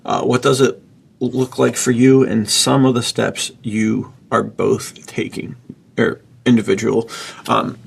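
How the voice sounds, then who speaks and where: A man in casual, reflective conversation as a podcast co-host, thinking aloud informally in a gravelly, husky voice. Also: mid-range voice, level tone, measured pace, slight background noise.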